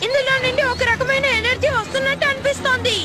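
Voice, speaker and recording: A woman voicing an excitable young boy, a loyal best friend, in a Telugu cartoon dub. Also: very high voice, fast talker. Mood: urgent, wary. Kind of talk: Telugu-dubbed cartoon acting. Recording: loud music behind the dialogue.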